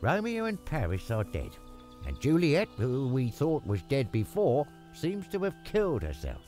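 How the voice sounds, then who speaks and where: A man's voice: mid-range voice, huge pitch swings, measured pace, a little mellow, faint music behind. An actor playing a stern, grieving nobleman in a formal, declamatory style for a dramatized classic. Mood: grave. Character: stern, grieving authoritative Italian nobleman of old Verona. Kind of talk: dramatized illustrated-classic audio play.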